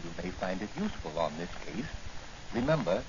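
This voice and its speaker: An actor playing a kindly older apothecary doctor and mentor in a 1940s radio drama. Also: male voice, low-pitched, sing-song, a little fast, slight background noise. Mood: thoughtful. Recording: muffled old 1940 radio recording with music.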